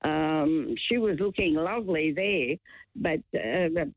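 A woman's voice: deep voice, slow pace, dry recording. A chatty woman, calling in to a radio show and telling an indignant story. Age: 70s. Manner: chatty, indignant, self-deprecating. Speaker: radio caller. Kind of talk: phone-in chat. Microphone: telephone. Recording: tinny phone line.

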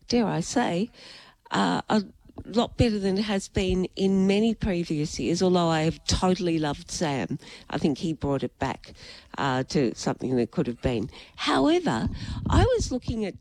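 A woman's voice: medium pitch, darkish tone, low-quality recording. A middle-aged Australian woman co-hosting a radio chat, playful and chatty, her voice nasal and stuffy from a head cold. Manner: chatty, playful, laughs often. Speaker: radio co-host.

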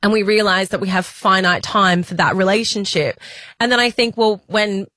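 Heartfelt halting woman in her forties. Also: mid-range voice, sharp tone, dry recording. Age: 40s. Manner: heartfelt, halting, near tears. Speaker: podcast co-host. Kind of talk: emotional casual chat.

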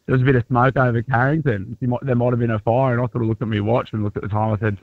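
A croaky Australian man joining a radio show by phone, fast and good-humoured in casual chat. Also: mid-range voice, level tone, dry recording. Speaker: phone-in guest. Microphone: phone line. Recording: dull phone-line sound.

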